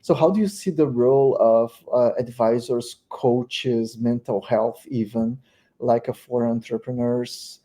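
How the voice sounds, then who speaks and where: Host guy with portuguese-speaking accent, very dark mellow voice, lots of uh and like. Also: mid-range voice, steady tone, measured pace. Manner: hesitant, many "uh" and "like" fillers. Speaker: podcast host. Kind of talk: casual interview chat.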